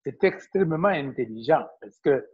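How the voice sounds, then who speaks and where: A man, a university professor, speaking as a guest on an online call in a measured way, with many fillers. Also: fairly high pitch, expressive, breathy edge, no room sound. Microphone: video call. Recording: dry, muffled call audio.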